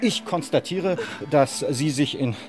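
A man's voice: fairly high pitch, a little slow, music in the background, lightly acted. A man acting a formal, officious police commissioner in a German radio play, stiff and authoritative. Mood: stern, official.

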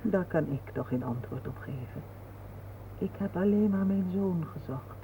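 Low-voiced gentle grieving mother. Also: expressive. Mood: calm, consoling. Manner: slow, patient, consoling. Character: gentle, serene older mother grieving her fallen soldier son. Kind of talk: solemn radio drama acting. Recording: muffled, noisy old radio play recording.

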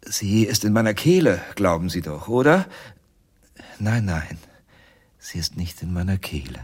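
An actor playing a tormented, helpless ventriloquist in a radio crime drama, speaking in a halting, confessional way. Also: male voice, low-pitched, big pitch swings, darkish tone, breathy edge, full character voice. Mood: helpless, agitated. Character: tormented male ventriloquist, helpless under his doll's power.

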